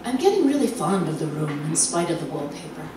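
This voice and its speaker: An actress performing a theatrical monologue as a lonely, nervous, confined wife slipping into obsessive madness, halting and dramatic with drawn-out words. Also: female voice, low-pitched, very animated. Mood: dreamy, preoccupied. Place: live performance in a cafe venue. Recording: very echoey room, distant mic, noisy.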